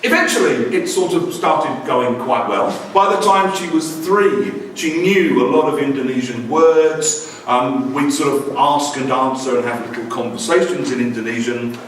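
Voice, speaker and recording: A man's voice: medium pitch, normal speed, few pauses, fairly bright tone, slight background noise. A man in his forties telling a wry, self-deprecating personal story about family life as a language teacher. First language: English. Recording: very echoey room, distant mic.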